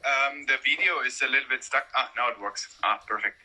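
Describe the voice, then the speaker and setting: A man's voice: medium pitch, fairly quick, sharp tone, slightly rough, poor audio. A man hosting a live-stream talk with an art collector, speaking hesitantly with frequent fillers over a video call. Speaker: host of collector talks.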